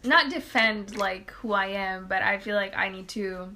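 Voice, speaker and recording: A young woman from Canada, born in Quebec with French as her first language, chatting casually as an interview guest, animated, with many "like" fillers. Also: mid-range voice, measured pace, a crowd in the background. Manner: animated storyteller, many "like" fillers, laughs often. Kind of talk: casual conversation.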